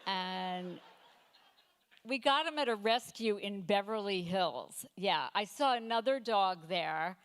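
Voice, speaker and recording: A woman in her fifties doing a stand-up comedy set, delivering deadpan one-liners with halting fillers and self-corrections. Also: mid-range voice, clear, resonant tone. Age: 50s. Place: comedy night stage before a live audience.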